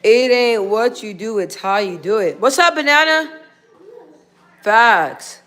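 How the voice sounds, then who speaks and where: A woman's voice: on the high side, lively intonation, slow, a bit bright. Chatty woman hosting a livestream. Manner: chatty and rambling, with frequent laughs; talks aside to people in the room. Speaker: livestream host. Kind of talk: casual livestream chat, reading viewer comments.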